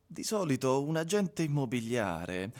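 A wry husband narrating his own tale in a literary radio drama. His voice sits in a middle male range and moves in measured storytelling phrases. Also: mid-range voice, very animated, a little mellow. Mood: tense recounting. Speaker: actor narrator.

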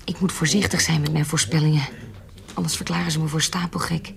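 An actress playing an anxious, scheming former baroness with second sight in a historical radio drama, grave and urgent. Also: female voice, deep voice, level tone, fast, gravelly. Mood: firm, scheming.

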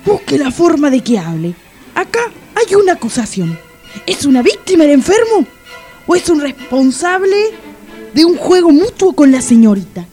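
A high-voiced, no-nonsense sergeant runs the interrogation. Her Argentine Spanish is commanding and deliberate. It is a comic radio drama, with faint music behind her. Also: very animated, dark tone. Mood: cold, demanding. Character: stern, bossy female police sergeant interrogating suspects.

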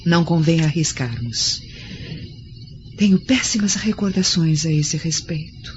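A woman's voice: low-pitched, lively intonation, a little slow, background noise. A woman playing a venomous, unfaithful Roman wife in a melodramatic radio drama. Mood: sly, coaxing. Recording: dull lo-fi radio drama recording. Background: faint background music.

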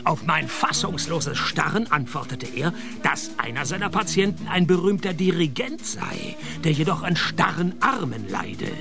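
An actor with a Swiss accent playing a cynical, deadpan private detective who narrates his own case in a radio play. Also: on the high side, normal speed, creaky, over music. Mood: wry narrating. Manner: dry, sardonic, deadpan.

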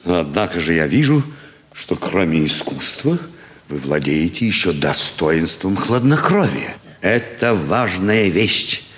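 Low-voiced, shrewd jovial gambler. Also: male voice, sing-song, measured pace, a bit bright, heavily acted. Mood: smooth, flattering. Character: shrewd, jovial male gambler, flattering ringleader of card cheats. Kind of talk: theatrical stage acting of classic comedy. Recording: reverberant hall stage recording with faint noise.

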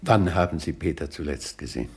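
An actor playing a worried older gentleman questioning his butler in a radio play. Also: expressive, brisk, heavily acted. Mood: fretful. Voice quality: gravelly, low. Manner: fretful, questioning.

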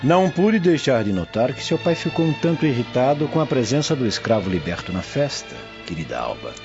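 A man's voice: medium pitch, very animated, fairly quick. An actor with a Brazilian accent playing a courtly, insinuating Roman nobleman in a radio drama. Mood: insinuating, flattering. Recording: dull lo-fi audio with music behind. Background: music.

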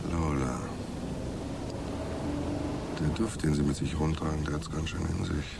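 An actor playing a wry, world-weary private detective in a noir radio drama, speaking in a dry, sardonic, laconic way. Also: male voice, very deep voice, steady tone, unhurried, vocal fry, heavy background noise, heavily acted. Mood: flirting, dry.

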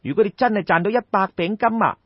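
A man's voice: sits high, animated, fast talker, husky, no echo, muffled recording, pitched above the performer's own voice. A man voicing a coy, teasing maidservant woman who needles and goads, in a Cantonese audiobook story.

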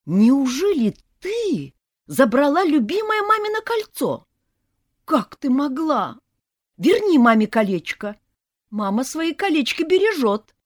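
A woman voicing a naive, gentle young man who is a blind snake, speaking earnestly and softly. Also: high-pitched, big pitch swings, takes it slowly, dry recording, studio quality, pitched above the performer's own voice, heavily acted.

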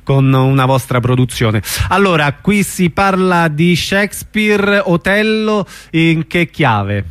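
A man's voice: a little high, animated, takes it slowly, hardly any pauses, a bit bright, clear, resonant tone, no room sound. A man hosting a casual radio interview, putting questions to his guest.

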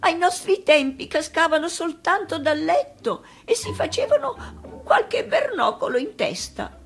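A woman's voice: very high-pitched, big pitch swings, unhurried, soft background music, voice pushed up, exaggerated voice. A woman voicing an anxious old grandmother in a storybook reading, fretful and protesting. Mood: indignant.

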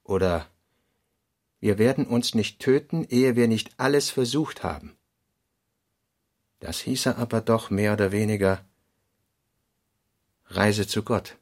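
A man's voice: lowish voice, slow, long pauses. A man with a Standard German accent reading scripted, fragmentary literary prose in a radio production.

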